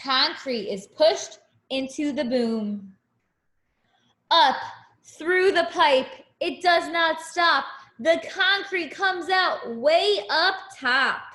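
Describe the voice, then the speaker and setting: A woman reading a picture book aloud to young children as a teacher, in an expressive, deliberate way with simple explanations. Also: high-pitched. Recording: echoey room.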